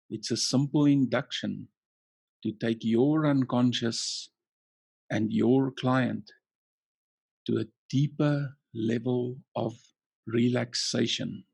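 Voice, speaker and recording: A man guides a relaxation exercise at a very unhurried pace, in short soothing phrases with long gaps between them. His voice is creaky and husky. He sounds like a trainer calmly teaching a hypnotic induction. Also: medium pitch, dry recording. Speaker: coaching trainer leading a session.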